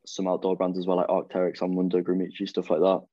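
A man's voice: sits low, completely flat delivery, quick pace, warm tone, no room sound. A young man, chatting as a podcast guest and rambling through plenty of fillers and restarts. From the Wirral, England. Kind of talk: casual chat. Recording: dull lo-fi, dry.

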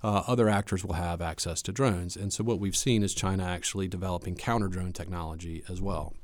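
Male expert guest. Low register, conversational explanatory delivery, measured, dry recording. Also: steady tone, dry recording. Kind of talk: conversational expert analysis in an interview.

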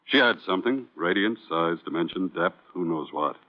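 An actor playing a sardonic Broadway producer, biting and theatrical, in an old-time radio crime drama. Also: male voice, sits low, lively intonation, moderate pace. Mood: sardonic, wistful. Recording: tinny, band-limited vintage radio recording.

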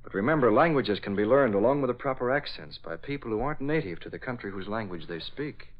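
An actor playing a calm, decisive newspaperman with a secret crimefighting life, in a measured, authoritative 1940s radio style. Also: mid-range voice, lightly acted. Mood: determined. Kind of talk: 1940s radio drama acting. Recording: dull old radio recording.

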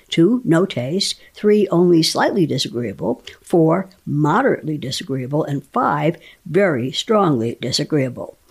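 A woman doing a scripted reading of a scientific paper in a formal, deliberate way. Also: fairly low pitch, gravelly, a little mellow, breathy edge. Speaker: dramatic reader of research papers.

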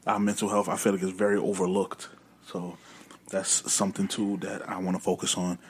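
A young man in casual chat, animated and repeating words in bursts as he talks. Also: deep voice, brisk, a little hiss or hum.

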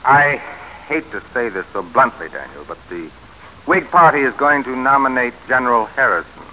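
A man's voice: mid-range voice, animated, moderate pace, pushed and bright, low-quality recording. A man acting in an old radio drama as a blunt but apologetic 19th-century party spokesman, speaking formally. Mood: reluctant, persuasive. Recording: hissy vintage radio drama recording.

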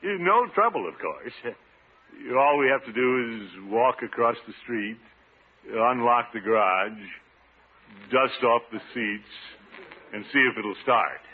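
An actor playing a self-satisfied, bumbling middle-aged bachelor uncle in vintage radio comedy, pompous and easily flustered. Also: male voice, medium pitch, lively intonation, slow. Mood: flirtatious. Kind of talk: 1940s-50s radio comedy acting. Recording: vintage radio recording, narrow band, hissy.

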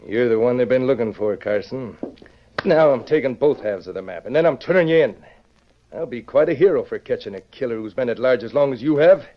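An actor playing a sly, gloating murderer in an old radio western, smug, distrustful and taunting. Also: male voice, medium pitch, animated, brisk, close to an everyday voice. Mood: gloating, menacing. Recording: muffled, lightly noisy vintage radio recording.

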